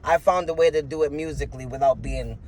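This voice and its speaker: A man's voice: a little high, fast talker, a little mellow, a little hiss or hum. Froggy-voiced man in his thirties. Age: 30s. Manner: rambling, frank, full of filler words. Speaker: interview guest, rapper. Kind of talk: candid interview answers.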